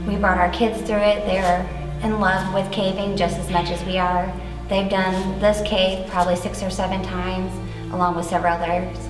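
A woman's voice: mid-range voice, flat delivery, normal speed. An American woman in her thirties talking casually in an interview as a volunteer guide. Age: 30s. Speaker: caving class guide. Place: inside a rocky cave. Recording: echoing cave, music underneath.

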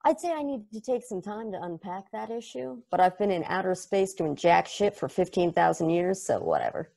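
A brash, foul-mouthed space probe that insults and clowns around, read by a woman on a video call. The voice is raspy and gravelly, and it jumps all over in pitch. It sounds like an amateur webcam table read. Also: big pitch swings, moderate pace, mellow, exaggerated voice. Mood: mocking. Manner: crude, mocking, sarcastic.

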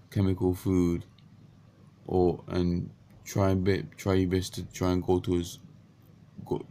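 A man speaking into a close webcam in a small bedroom, his voice deep and gravelly with morning croak. He talks in a flat, dark tone, stumbling and restarting his sentences often. A faint hiss sits under the recording. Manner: halting, many restarts and stumbles. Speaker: vlogger. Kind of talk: unscripted casual monologue. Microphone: webcam at close range.

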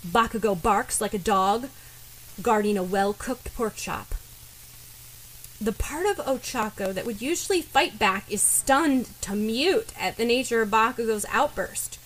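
A woman's voice: on the high side, measured pace, fairly bright tone, low-quality recording. A woman reading fan fiction aloud as scripted story narration. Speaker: fan fiction podfic reader.

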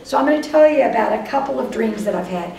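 An older woman with a Texas accent giving a lively, chatty lecture to a live audience, speaking straight to them. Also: fairly high pitch, fast, creaky, distant mic, a little hiss or hum. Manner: lively, chatty, addresses audience directly, acts out voices of dreams. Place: meeting room before a whiteboard. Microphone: far camera. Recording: roomy echo, voice from afar.

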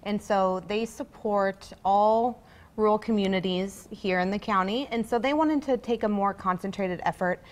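A woman's voice: mid-range voice, moderate pace. A young woman representing a farm bureau, explaining steadily on a TV set. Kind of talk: morning show interview. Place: TV studio set.

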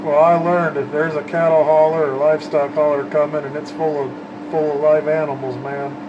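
A man's voice: fairly high pitch, little pitch movement, brisk, lo-fi sound. A man chatting casually in folksy CB radio slang, as an operator showing his setup. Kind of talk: casual radio chat and commentary. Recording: loud vehicle and fan noise.

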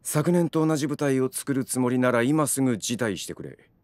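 A man's voice: medium pitch, normal speed, a little mellow, dry recording, close to an everyday voice. An actor playing a stern, commanding male stage director in an audio drama, uncompromising and demanding. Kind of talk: Japanese audio drama acting.

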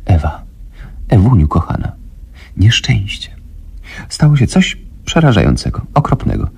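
A man's voice: on the low side, sing-song, moderate pace, vocal fry, a little mellow, husky, voice pushed up, exaggerated voice. A man voicing a melodramatic, flustered woman in a comic radio story, lamenting and repeating herself. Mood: distraught. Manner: breathless repetitive lamenting, hesitant stammering. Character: panicky, flustered, shrill-voiced woman, melodramatic.

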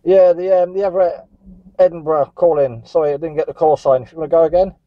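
A man's voice: fairly high pitch, steady tone, quick pace, no room sound. A man chatting and calling for contacts as a CB radio operator. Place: static vehicle on a seafront headland. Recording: dull lo-fi CB radio recording.

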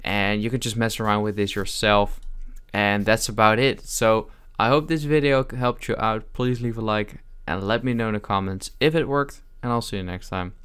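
A man hosts a casual how-to tutorial in a clear, smooth voice that sits a little low. He talks through each step informally, often hesitating and filling gaps as he goes. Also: fairly even intonation, moderate pace, a bit bright. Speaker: tutorial host. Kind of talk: casual software tutorial narration.

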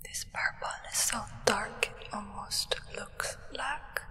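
Slow whispering woman, ASMR roleplay. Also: on the high side, long reverb tail. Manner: formal, deliberate, hushed. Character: solemn, devoted female acolyte of a vampire sovereign. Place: indoors, small draped set.

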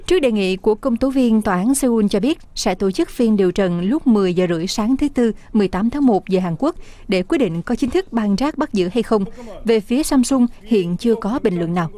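A female news narrator with a warm, dark tone reads her script steadily. Her delivery stays measured and even. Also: medium pitch. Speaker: news reporter.

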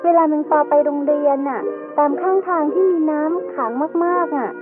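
Woman acting chatty little girl, high childlike voice, polite, eager, thin phone-like recording. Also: expressive, normal speed. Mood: curious, eager. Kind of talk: scripted educational radio drama. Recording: tinny band-limited audio with faint music.

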